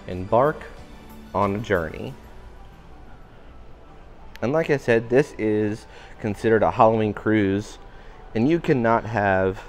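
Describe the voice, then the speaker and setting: A man giving an upbeat walking tour of a cruise ship, his voice a little raspy today. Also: fairly low pitch. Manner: upbeat, enthusiastic guiding. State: slightly raspy voice. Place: indoor public areas of a cruise ship.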